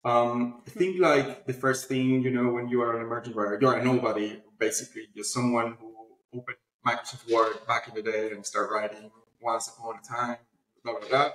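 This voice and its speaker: Male interview guest, mid-pitched, animated conversational storyteller, roomy echoey recording. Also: a little fast. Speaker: interview guest, publishing platform founder. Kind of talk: casual conversational interview answers.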